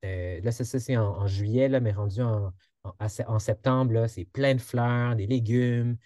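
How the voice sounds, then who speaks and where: Quebec guy in his 30s, lots of euhs, dry close sound, kinda dark tone. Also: medium pitch, fairly even intonation, moderate pace, dry recording. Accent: Québécois. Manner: hesitant, many fillers and restarts. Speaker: project presenter. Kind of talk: informal presentation in an online info session. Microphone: video call.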